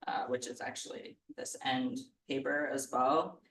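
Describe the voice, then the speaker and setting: A woman's voice: extremely low pitch, steady tone, measured pace, vocal fry. Woman painter, scratchy voice, earnest and reflective; distant, roomy live-event sound. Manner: thoughtful, earnest, gently admiring. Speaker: painter and guest. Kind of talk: casual public conversation. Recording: roomy sound from far off the mic.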